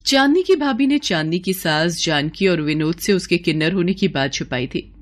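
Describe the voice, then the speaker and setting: A woman's voice: lowish voice, expressive, moderate pace. A woman reading scripted, dramatic story narration.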